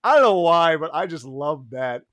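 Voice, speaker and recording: A man's voice: fairly high pitch, moderate pace, no room sound. A man who composes music, answering casually and animatedly, acting out sounds as he explains. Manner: animated, sings and mimics sounds to illustrate. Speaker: audio drama composer.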